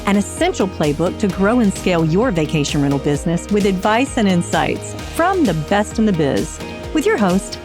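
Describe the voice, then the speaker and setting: A woman's voice: medium pitch, very animated, normal speed, over music. A woman hosting a podcast, introducing and interviewing her guest in an upbeat, emphatic, effusive way. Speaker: podcast host.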